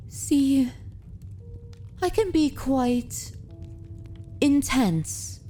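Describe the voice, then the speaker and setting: Woman doing a dominant but tender vampire mistress, asmr style, super slow n soft, dark mellow voice, very formal. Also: high-pitched, lively intonation, faint music behind, exaggerated voice. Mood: pleased, hungry. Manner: slow, deliberate, formal and courtly. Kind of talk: ASMR roleplay, soft intimate delivery with whispers.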